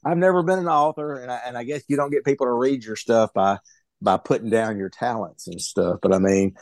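A man's voice: mid-range voice, fairly quick, no echo, clean studio sound. A man casually giving his take in a book discussion over a video call, wry and often repeating words as he stammers along. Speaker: book club member.

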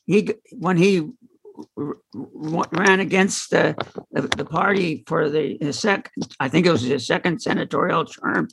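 A man casually telling anecdotes in a group discussion, piecing them together haltingly. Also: fairly high pitch. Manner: halting, piecemeal storytelling. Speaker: book club member. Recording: clearly noisy recording with clicks.

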